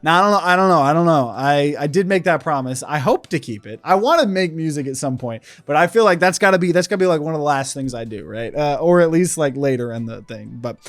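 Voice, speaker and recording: A man's voice: a little high, expressive, fast talker, few pauses, soft background music. Rambling young man vlogging. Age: 20s. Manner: rambling, many fillers and restarts. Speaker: content creator. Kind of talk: rambling casual vlog. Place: indoor room. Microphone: camera at desk.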